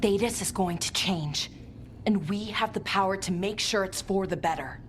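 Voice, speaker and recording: A woman voicing a gentle, hopeful young female adventurer in a fantasy video game, sincere and a little hesitant. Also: medium pitch, moderate pace, slight background noise, lightly acted. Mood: earnest, hopeful. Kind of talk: video game character acting.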